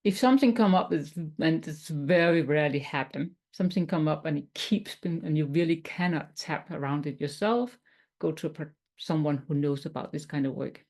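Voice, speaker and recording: A woman from Denmark with a Danish accent, teaching tapping in a webinar in a gentle, explanatory way with some non-native phrasing. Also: low voice, normal speed. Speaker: tapping coach.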